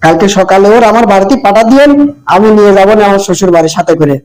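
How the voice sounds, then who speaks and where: Friendly village neighbour, cartoon, male actor: rapid chatty delivery, high register, rural Bangladeshi accent. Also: darkish tone, natural voice, poor audio. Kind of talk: amateur cartoon voice acting.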